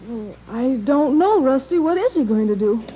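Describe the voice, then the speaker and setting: A very muffled old radio recording of an adoring young sweetheart on the radio to her man. The woman's voice is high and swoops widely in classic Hollywood drama style. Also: animated, normal speed, slight background noise. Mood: tender.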